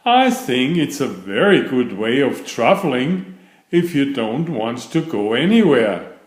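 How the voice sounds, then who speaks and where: A man's voice: mid-range voice, very animated, unhurried. A man voicing a polite, earnest young storybook bear in a courteous, matter-of-fact way. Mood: earnest, pleased. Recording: roomy, echoing sound.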